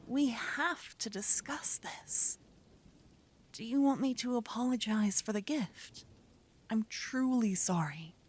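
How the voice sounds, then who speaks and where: A woman voicing a lovestruck young female mage in a soft, breathy, often whispered voice, tenderly coaxing her lover in a romantic ASMR roleplay. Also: medium pitch. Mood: apologetic.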